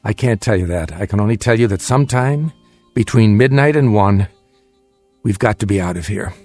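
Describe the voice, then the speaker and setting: A man's voice: on the low side, animated, normal speed, a little mellow, faint music behind. An American actor playing a middle-aged, secretive family father in a radio drama, commanding, guarded and urgent. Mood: hushed urgency. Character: tense secretive family father plotting an escape.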